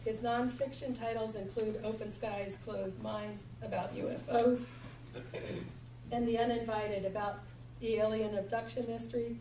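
A tired woman with a gravelly voice introduces a guest speaker, reading from notes with light jokes. Her pitch sits a bit high, and she sounds far from the mic. An echoey hall carries clear background noise. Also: steady tone, moderate pace, warm tone. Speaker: event host introducing speaker. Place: lecture hall with audience.

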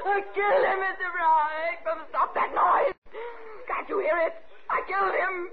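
An actress playing a distraught wife in a 1950s radio thriller, crying and frantically confessing over and over. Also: female voice, very high voice, steady tone, a little slow, vocal fry, a loud crowd, heavily acted. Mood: hysterical, sobbing. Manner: frantic, repetitive shrieking. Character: hysterical distraught wife, screaming a murder confession. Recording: noisy, muffled 1950s radio broadcast.

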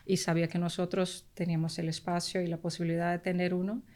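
Woman, low voice, chatty guest. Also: flat delivery, quick pace. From Brazil. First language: Portuguese. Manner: warm, laughs often, trailing restarts. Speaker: guest soprano. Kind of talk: casual interview chat.

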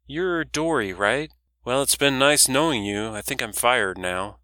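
A man voicing a nervous young man who rushes through apologies. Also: mid-range voice, sharp tone, no room sound, clean studio sound. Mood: wry. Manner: flustered, hurried apologies. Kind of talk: audiobook character voice.

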